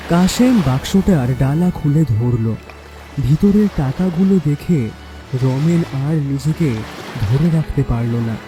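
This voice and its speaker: A man's voice: fairly high pitch, dark tone, rough recording. A man narrating a scripted horror story in a dramatic storytelling style.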